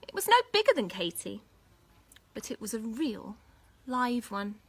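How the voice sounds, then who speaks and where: A woman reading a children's story expressively as a school storyteller, with dramatic, deliberate phrasing for young listeners. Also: on the high side, sing-song, husky.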